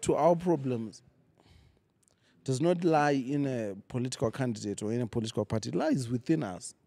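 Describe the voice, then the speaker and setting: A man, a comedian guest chatting casually and giving strong opinions with animated, storytelling delivery. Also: medium pitch, moderate pace, no echo. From Zimbabwe. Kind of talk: casual conversational interview. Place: lounge venue.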